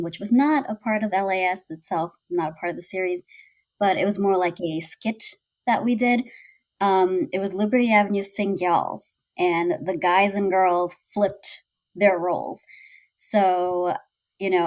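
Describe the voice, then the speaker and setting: A woman chatting casually on a podcast about filming a web series, using lots of filler words. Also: on the low side. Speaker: cast member recalling filming.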